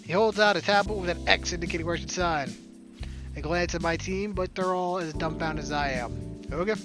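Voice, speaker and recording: A man's voice: sits high, a little fast. A man reading a visual novel aloud for a let's play, jokey and playful in his narration. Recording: game music behind.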